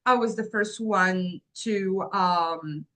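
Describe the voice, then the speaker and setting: A woman's voice: mid-range voice, takes it slowly, sharp tone. A woman in her fifties from Morocco, telling her life story as an interview guest in an earnest, reflective way with many "um"s and "like"s. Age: 50s. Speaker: guest, agency founder.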